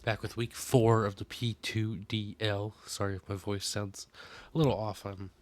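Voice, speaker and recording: Low-voiced young man, a bit sick. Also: level tone, moderate pace. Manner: conversational, thinks aloud with fillers and self-corrections. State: a little sick. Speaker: Pokémon league player and video host. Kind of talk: casual team breakdown commentary.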